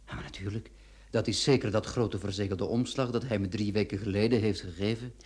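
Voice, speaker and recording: An actor playing a courteous, fussy notary in a radio play, speaking in a formal, musing, polite way. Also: male voice, fairly low pitch, fairly even intonation, a little fast, darkish tone, lightly acted. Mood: astonished. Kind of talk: Dutch-language radio drama acting.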